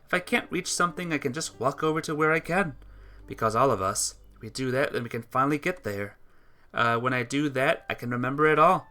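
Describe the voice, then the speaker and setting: A woman's voice: a bass voice, brisk, pushed and bright. A woman game streamer reading a visual novel aloud and chatting casually with viewers. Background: music.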